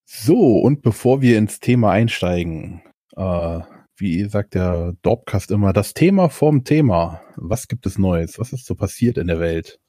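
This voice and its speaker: A man hosting a casual podcast, moving the talk along with relaxed questions. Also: on the low side, a little slow, no echo, clean studio sound. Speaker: podcast host. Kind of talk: casual chat.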